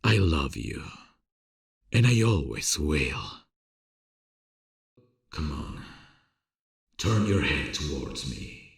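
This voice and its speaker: A man playing a gentle, earnest theatre director in love, in an intimate ASMR romance roleplay, speaking in a tender, confiding, hesitant way with a husky voice. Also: very deep voice, sing-song, drawn-out words, long pauses, no room sound, clean studio sound, exaggerated voice. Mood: instructive, encouraging.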